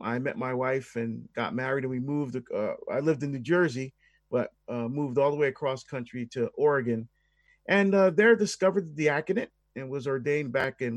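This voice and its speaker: An American man answers as an interview guest in a conversational, explanatory way. His pitch is average for a man. The sound is dry, with little trace of the room. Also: no echo. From Barbados and the United States.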